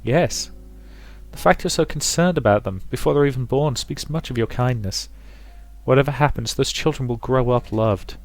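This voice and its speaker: A man reading the part of a gentle, reserved young woman, a loyal friend in a visual novel. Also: mid-range voice, normal speed, dry recording, faint music behind, voice pushed up, close to an everyday voice. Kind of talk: visual novel character read aloud by a player.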